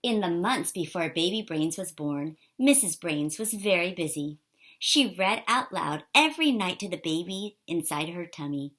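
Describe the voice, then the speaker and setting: A woman reading a children's picture book aloud in an expressive, animated way for young listeners. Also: fairly low pitch, normal speed. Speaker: storybook reader.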